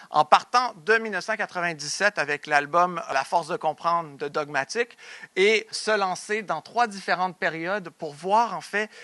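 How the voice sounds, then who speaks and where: A man's voice: sits high, bright, forceful tone, no room sound. A young man from Montreal with a Québécois French accent gives an academic conference presentation, often hesitating and restarting his sentences. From Montreal, Quebec, Canada. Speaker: doctoral researcher, conference speaker.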